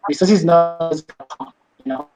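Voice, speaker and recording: A man speaking thoughtfully as a panelist in a webinar discussion, pausing often with fillers, over a video call. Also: a little high, level tone, music in the background. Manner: thoughtful, hesitant with many fillers.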